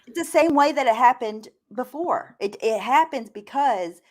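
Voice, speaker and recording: A woman's voice: a little high, lively intonation, moderate pace. Blunt, opinionated woman chatting casually. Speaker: panelist on a reaction live stream. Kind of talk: casual gossip chat.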